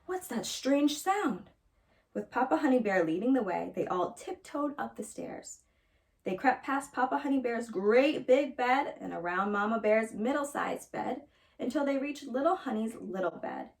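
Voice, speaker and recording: A woman storyteller reading a children's fairytale aloud with expressive narration. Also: on the high side, moderate pace, gravelly.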